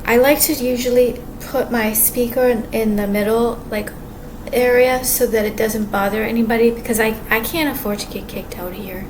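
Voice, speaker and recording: Quiet-voiced woman rambling to camera. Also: on the high side, steady tone. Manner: rambling, halting, many restarts and fillers. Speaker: vlogger. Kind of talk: casual monologue to camera. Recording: clear background ambience noise.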